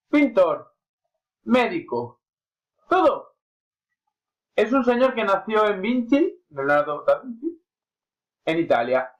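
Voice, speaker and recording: Chatty man teaching art history. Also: fairly high pitch. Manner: chatty and informal, with colloquial asides. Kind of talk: casual art history lesson. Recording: dull lo-fi recording.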